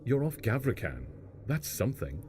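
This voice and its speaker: A man acting in an audio drama as a loyal, gruff mentor, terse and understated. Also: mid-range voice, sing-song, moderate pace, a little mellow, slight background noise, natural voice. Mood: tender, resigned. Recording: music behind the voice.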